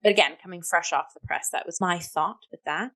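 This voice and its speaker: Female talk co-host, slightly low register, effusive and halting, conversational reviewer. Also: bright, forceful tone. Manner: effusive, halting, heavy use of "like". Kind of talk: casual review chat.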